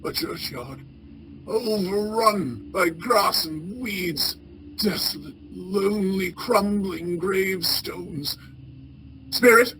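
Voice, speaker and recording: A woman playing an excitable, repentant old male miser in a radio play, stammering and exclaiming. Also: mid-range voice, slight background noise, low-quality recording. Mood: fearful, mournful. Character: frightened old male miser, desperately repentant, then giddy.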